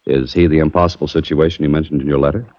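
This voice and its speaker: An actor playing a breezy, curious adventurer in a 1940s radio drama, with wry, polished delivery. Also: male voice, a bass voice, fairly even intonation, racing, darkish tone, no echo. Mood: wry, bantering. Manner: wry, bantering, polished storytelling. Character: breezy, curious adventurer hero narrating his own case. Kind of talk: 1940s radio drama acting with first-person narration. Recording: dull, noisy vintage radio recording.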